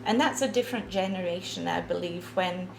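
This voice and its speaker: A woman in her sixties from Scotland with an Edinburgh accent, speaking as a guest on a podcast interview, slow and reflective with frequent restarts. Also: on the low side, vocal fry, roomy sound, background noise. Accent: Scottish, Edinburgh. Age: 60s. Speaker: guest author.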